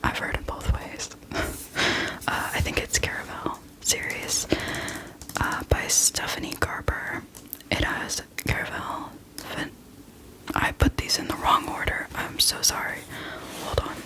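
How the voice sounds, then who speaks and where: A woman hosting a casual whispered ASMR book chat, speaking in a soft, breathy whisper with a hesitant, self-deprecating manner. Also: a little slow, pauses often, rough recording. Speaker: book video host.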